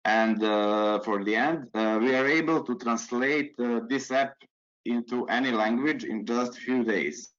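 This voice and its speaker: Man, halting presenter with a mid-pitched voice, heard over a muffled video call line. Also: fairly bright tone. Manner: halting, many restarts. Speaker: webinar presenter. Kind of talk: webinar presentation. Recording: dull lo-fi video call audio.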